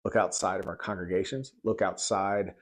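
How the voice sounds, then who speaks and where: A man giving informal Bible commentary in a halting, conversational way, often repeating words as he goes. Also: deep voice, steady tone.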